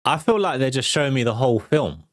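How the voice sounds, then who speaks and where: A man's voice: mid-range voice, lively intonation, fast talker, no room sound, clean studio sound. A British man hosting a movie trailer show, giving casual reaction commentary.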